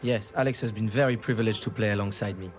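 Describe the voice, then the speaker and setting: A man's voice: lowish voice, fairly even intonation, quick pace, close to an everyday voice. Man playing a self-assured, bantering footballer. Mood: teasing. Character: self-assured, friendly male footballer, teasing teammate. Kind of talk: video game cutscene acting.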